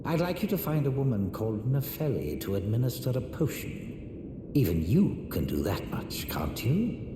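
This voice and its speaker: An actor playing a haughty, condescending, scheming sorcerer and puppeteer in a fantasy video game, with pompous, sneering, theatrical phrasing. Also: male voice, medium pitch, big pitch swings, moderate pace, background noise, close to an everyday voice. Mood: curt, condescending. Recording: echoey hall reverb under loud music.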